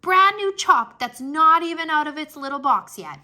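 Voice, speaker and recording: A woman voicing a bossy, excitable little kindergarten girl in a children's storybook, with a cheeky, emphatic, childlike delivery. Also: high-pitched, moderate pace, slight background noise, voice pushed up, exaggerated voice. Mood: excited. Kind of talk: children's storybook read-aloud.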